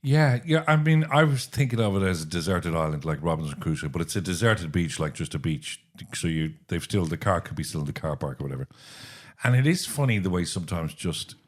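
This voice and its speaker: Irish man, podcast co-host, lowish voice, animated storyteller, casual chat. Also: a little fast.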